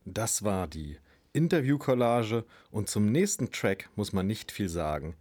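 A man's voice: lowish voice, expressive, unhurried, a little mellow. A young man presenting on a school radio show, reading a track introduction in a plain, matter-of-fact way. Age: young adult.

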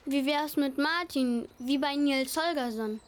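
A female amateur acting as a defiant child who loves animals, in a radio play. The voice is high. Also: unhurried. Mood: eager. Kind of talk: amateur audio drama acting.

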